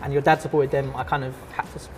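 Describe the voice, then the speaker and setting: A man, an artist and teacher chatting casually in an interview, relaxed and full of fillers and restarts. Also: mid-range voice, rapid-fire, slight background noise. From London.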